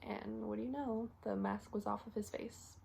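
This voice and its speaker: A weary young female medical intern, played by a woman in an audition monologue, with a creaky, mid-pitched voice. She talks in a halting, confiding way, frustrated and worn down, in naturalistic stage-monologue style. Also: lightly acted. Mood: grieving, bitter.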